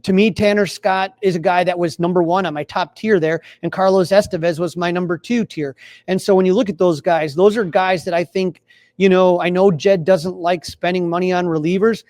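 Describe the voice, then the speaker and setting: A man with a distinctly high voice and an American accent talks sports casually. He rambles and circles back over his phrases, recorded close and dry. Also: steady tone, brisk, a little mellow, dry recording. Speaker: sports podcast host.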